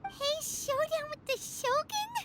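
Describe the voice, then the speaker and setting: A woman voicing a tiny, excitable, anxious childlike fairy companion in a video game, with a squeaky voice and fretful exclamations. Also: takes it slowly, a little hiss or hum, heavily acted. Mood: alarmed, worried. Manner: fretful, exclaiming, refers to herself in third person. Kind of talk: cartoonish video game voice acting.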